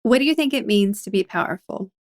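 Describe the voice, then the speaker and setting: A woman hosting a podcast interview, talking in a chatty, animated way. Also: medium pitch, fast talker. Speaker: podcast host. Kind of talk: conversational interview.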